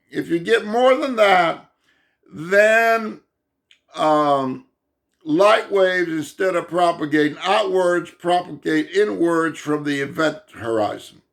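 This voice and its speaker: A hoarse man giving an unscripted explanatory monologue in a halting way, often pausing to check in with his listener. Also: sits high. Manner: halting, keeps checking in with "okay?". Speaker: self-published video explainer.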